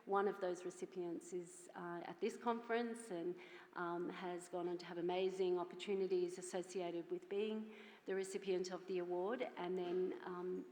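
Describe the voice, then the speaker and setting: Female award presenter, lowish voice, earnest and informal, reverberant conference hall. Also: flat delivery, normal speed, a little mellow. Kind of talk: informal spoken introduction. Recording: echoey hall with long reverb.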